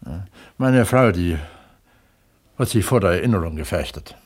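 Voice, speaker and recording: An actor playing a modest, middle-aged villager who talks plainly and haltingly in a naturalistic radio play. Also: male voice, low voice, animated, darkish tone, breathy edge. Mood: reflective. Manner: halting, plain-spoken, hesitant. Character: modest, thoughtful resettled villager who wrote a novel. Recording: 1970s East German studio radio drama.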